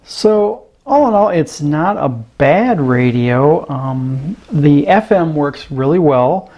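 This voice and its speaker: A man giving a casual, hands-on radio review in a room at his desk, talking slowly and filling pauses with ums and uhs. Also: fairly high pitch, animated, darkish tone, lo-fi sound. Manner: unhurried, hesitant, many ums and uhs. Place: indoor room at a desk with gear. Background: radio noise and static at times.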